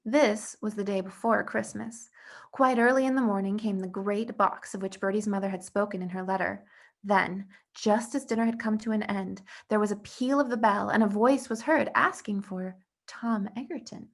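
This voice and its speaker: A woman's voice: medium pitch, steady tone, normal speed, creaky. A woman reading a storybook narration aloud as the storyteller. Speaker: story reader.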